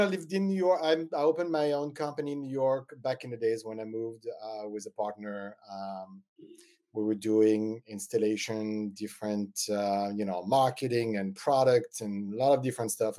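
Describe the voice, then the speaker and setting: A man's voice: lowish voice, steady tone, moderate pace, darkish tone. A middle-aged man from France with a French accent, a podcast guest talking in a rambling, hesitant way with many ums. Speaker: guest, eyewear designer. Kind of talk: casual interview chat.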